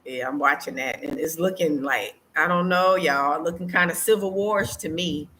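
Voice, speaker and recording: A low-pitched American woman talks casually to her online viewers. She is conversational and often repeats a phrase to stress it. A light layer of ambient noise sits behind her voice. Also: moderate pace, pushed and bright, low-quality recording. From United States. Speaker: vlogger hosting a live stream.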